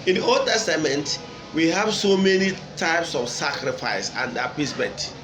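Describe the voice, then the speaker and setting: A man from Nigeria with an Igbo accent, a native Igbo speaker, giving an unscripted religious lecture in a deliberate, emphatic, instructive way. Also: fairly high pitch, expressive, music in the background. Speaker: traditional religion teacher and online video host. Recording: echoey room with clear background noise.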